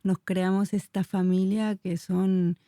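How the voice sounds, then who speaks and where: Woman from Chile, forties, slow hesitant speech, mellow low voice, dry close recording. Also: flat delivery, no echo. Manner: searching, hesitant, many fillers, soft laughs. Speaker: dance teacher and movement researcher. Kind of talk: thoughtful interview answers.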